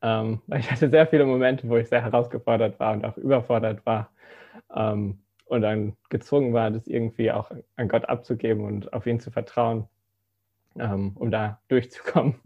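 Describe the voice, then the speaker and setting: A man's voice: medium pitch, steady tone, normal speed, vocal fry, muffled recording. A young man in his twenties, raised in the Philippines, giving a hesitant personal testimony full of fillers. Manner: hesitant, many fillers, soft-spoken. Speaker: student ministry intern giving a testimony.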